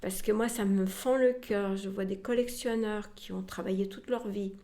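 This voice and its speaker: Woman in a halting conversational interview. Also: mid-range voice, fairly even intonation, dark tone. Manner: halting, repeats words, trails into asides. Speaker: museum representative being interviewed.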